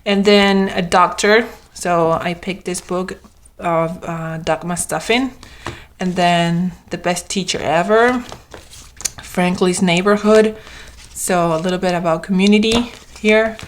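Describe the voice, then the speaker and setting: A young adult woman with a slightly hoarse voice, chatting casually as she shows her homeschool materials in a vlog, with frequent ums and restarts. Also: lowish voice, takes it slowly, slight background noise. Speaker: homeschooling mom vlogger. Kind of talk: casual show-and-tell vlog.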